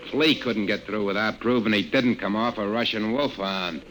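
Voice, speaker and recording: An actor playing a gruff, blunt male security guard in an old-time radio drama, talking in clipped, colloquial phrases. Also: fairly low pitch, steady tone, moderate pace, a bit bright, faint music behind, close to an everyday voice. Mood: brusque, worldly. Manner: clipped, colloquial, matter-of-fact. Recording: vintage radio, faint noise.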